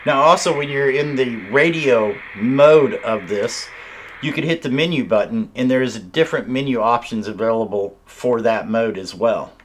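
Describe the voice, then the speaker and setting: A man's voice: medium pitch, moderate pace, poor audio. A man giving a casual, conversational hands-on product demonstration, explaining things step by step. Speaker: radio reviewer and host.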